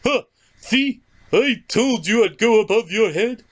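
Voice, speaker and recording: A man's voice: sits high, expressive, measured pace. A man voicing a smug, self-important puppet sidekick in comedy banter. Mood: smug, indignant.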